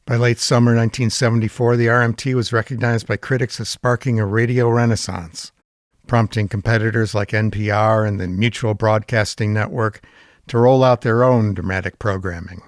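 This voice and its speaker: A man's voice: on the low side, fairly even intonation, moderate pace, no room sound, studio quality. A man reading a scripted, informative introduction as a series presenter.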